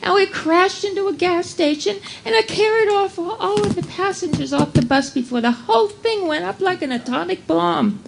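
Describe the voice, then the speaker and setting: Woman playing high-voiced, testy bus driver. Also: moderate pace, creaky. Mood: matter-of-fact. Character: matter-of-fact, testy female bus driver witness. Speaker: amateur performer in a live radio play. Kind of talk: comic radio drama dialogue. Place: live stage performance aboard a cruise ship. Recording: noisy live room recording.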